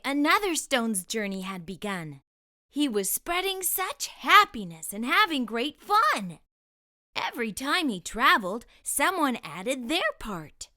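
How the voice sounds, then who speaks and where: A woman's voice: sits high, sharp tone. A woman narrating a children's storybook expressively, enunciating for young listeners as a storytime host.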